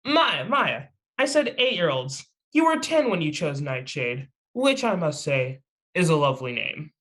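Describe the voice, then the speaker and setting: A man's voice: fairly high pitch, very animated, measured pace, fairly bright tone. A man playing a chatty, teasing young superhero in an amateur table read, with casual delivery.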